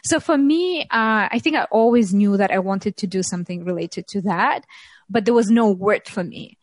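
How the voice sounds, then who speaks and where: A woman speaks as an interview guest, her words measured and broken by frequent ums and short pauses. Her pitch sits in the ordinary middle range for a woman. The recording is dry, with almost no room sound. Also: no echo. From Russia. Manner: thoughtful, many pauses and ums, light laughs. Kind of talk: reflective interview talk.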